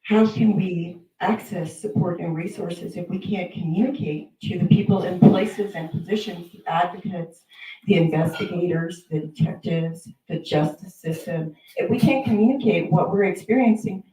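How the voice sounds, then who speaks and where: Woman, warm mellow tone, firm explaining, echoey room, distant mic. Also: on the low side, normal speed, a little hiss or hum. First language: Pennsylvania Dutch. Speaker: panel speaker, researcher. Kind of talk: panel discussion talk.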